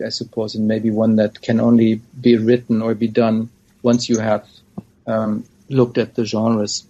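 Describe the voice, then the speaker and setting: Hesitant gravelly-voiced male scholar. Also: medium pitch, darkish tone, dull audio. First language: not Dutch. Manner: hesitant, many fillers and restarts. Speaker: scholar and author. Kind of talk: academic interview answers.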